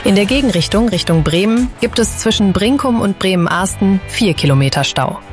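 Woman, traffic and weather reports, clear, friendly, faint music. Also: mid-range voice, lively intonation, fairly quick.

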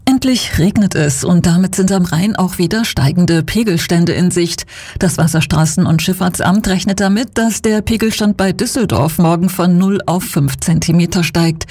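Measured female newsreader. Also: fairly low pitch, breathy edge. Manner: even, measured. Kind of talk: scripted news reading.

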